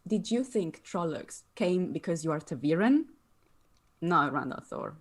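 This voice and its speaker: A woman playing a solemn, grave figure of mystic power in a dramatic screenplay table read, speaking deliberately. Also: on the low side, very animated. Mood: grave, certain.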